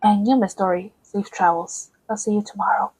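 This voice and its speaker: A woman voicing a gentle, earnest young female leader in an amateur game voice-over, speaking calmly and politely. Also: mid-range voice, moderate pace, husky, lightly acted, rough recording. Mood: gentle, apologetic. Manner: calm, polite, considerate.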